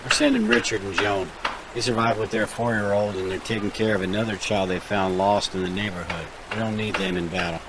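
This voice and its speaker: A decisive survivor organiser in an audio drama. He is low and gravelly, speaking in a businesslike, directive way against a clearly noisy background. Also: lively intonation, brisk. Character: practical male survivor camp organiser, decisive.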